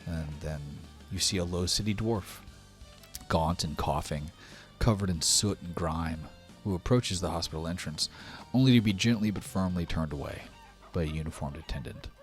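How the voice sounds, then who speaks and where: A man's voice: low-pitched, normal speed. A man narrating a tabletop fantasy game as the game master. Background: background music.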